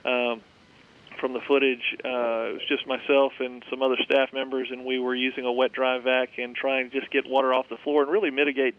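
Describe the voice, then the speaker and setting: A man interviewed live over a muffled, band-limited phone line. His voice is smooth and clear with very little pitch movement, and he explains things in a measured, halting way. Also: little pitch movement. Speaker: head of school, phone interviewee. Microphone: telephone.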